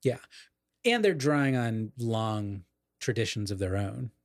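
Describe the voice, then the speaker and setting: A man's voice: on the low side, lively intonation, a little slow, no echo, studio quality. A man co-hosting a casual literary discussion, explaining ideas in an erudite way and qualifying his points as he goes. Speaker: podcast co-host explaining literature.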